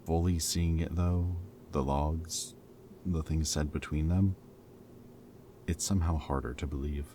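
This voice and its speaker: A man playing a protective, teasing former villain in an audio roleplay, with a wry, casual, halting delivery. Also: rumbling low, steady tone, dark tone, slight background noise. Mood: serious, subdued.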